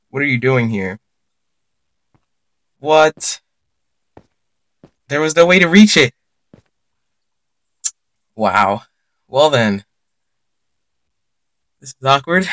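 Unhurried young man narrating a game. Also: mid-range voice, a bit bright, no echo. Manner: chatty, reacts aloud and reads signs to viewers. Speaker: gaming YouTuber. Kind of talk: casual gameplay commentary.

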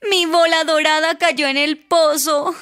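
High, girlish, excitable cartoon princess. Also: slow, faint music behind, heavily acted. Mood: pleading. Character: spoiled young princess, excitable, whiny, childish girl. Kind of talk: musical children's storybook cartoon.

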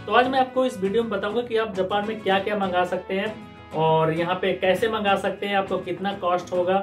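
A man from India in his thirties talks in a lively, chatty way as he unboxes groceries at a desk. His voice sits a little higher than most men's. Soft music plays faintly behind him. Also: brisk. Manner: chatty, animated, gesturing while talking. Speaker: vlogger. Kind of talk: casual unboxing vlog. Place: indoor room, green screen and purple backdrop.

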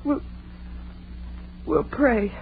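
A woman's voice: fairly high pitch, animated, drawn-out words, gravelly, full character voice. An actress playing a frightened, protective young woman, pleading desperately in melodramatic old-time radio style. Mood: uneasy. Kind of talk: 1940s radio acting. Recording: muffled, hissy old-time radio recording.

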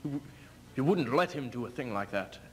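A man's voice: medium pitch, lively intonation, natural voice. Man playing embattled aging king, widely swinging delivery. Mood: mocking. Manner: declamatory, deliberate, sardonic. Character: aging, embattled English king, bitter, mocking, wounded husband. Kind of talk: theatrical readers theater duo performance. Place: stage in front of curtain. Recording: noisy amateur stage video sound.

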